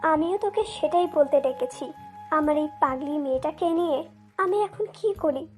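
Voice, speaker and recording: Casting: woman to play anxious mother in a romance audio drama, extremely high voice, fretful, lamenting. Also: moderate pace, darkish tone, faint music behind, natural voice. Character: anxious mother fretting over daughter and family honour.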